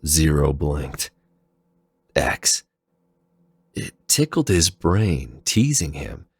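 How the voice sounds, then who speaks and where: Really deep voiced guy narrating a dramatic story, slow and creaky, close dry sound. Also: very animated, no room sound.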